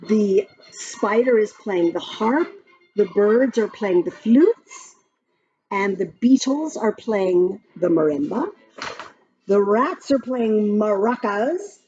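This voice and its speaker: A woman reading a children's picture book aloud in a gentle, expressive storytime voice. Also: mid-range voice, slow pace, muffled recording, soft background music.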